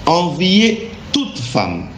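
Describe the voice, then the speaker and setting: A man telling a religious testimony in deliberate, emphatic, halting phrases. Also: fairly high pitch, wildly swooping pitch. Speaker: guest giving a religious testimony. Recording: echoey room with faint background noise.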